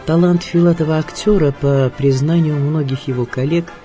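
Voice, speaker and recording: A man reading a scripted biographical narration in a measured, literary and declamatory way. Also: a little high, fairly even intonation, mellow. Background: music.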